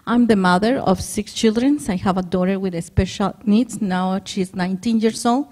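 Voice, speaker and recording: Mellow Mexican-accented woman. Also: mid-range voice, normal speed. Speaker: panelist parent.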